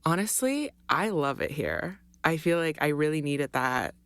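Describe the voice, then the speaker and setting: Podcast guest: young woman from Honduras, low voice, dry close sound, chatty and upbeat. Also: animated, unhurried, no room sound. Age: young adult. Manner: chatty, laughs often, many fillers. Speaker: guest student. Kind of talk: casual interview chat.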